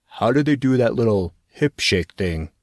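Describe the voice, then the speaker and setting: A man's voice: medium pitch, lively intonation, moderate pace, dry recording, clean studio sound, natural voice. Man doing a cheeky, wisecracking teenage boy. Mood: teasing. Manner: slangy, cheeky.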